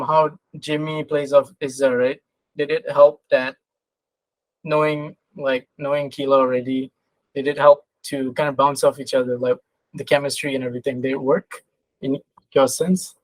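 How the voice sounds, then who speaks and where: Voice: mid-range voice, dry recording, low-quality recording. An interviewer moderating a panel in a casual, informal chatting style.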